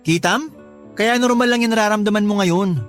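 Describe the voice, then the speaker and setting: A young man voicing a smooth-talking, manipulative suitor in a radio love story. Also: sits high, moderate pace, soft background music, close to an everyday voice. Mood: charming. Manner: charming at first, then demanding. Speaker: suitor Dennis. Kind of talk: radio drama acting.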